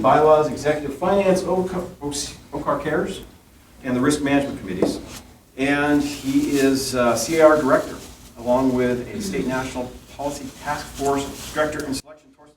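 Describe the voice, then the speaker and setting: A man's voice: mid-range voice, brisk, far from the mic. A man emceeing an event, introducing the panel with jokes and read-out lists. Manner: jokey, reads off lists. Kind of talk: introducing speakers. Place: meeting room. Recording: echoey room from afar, clear noise.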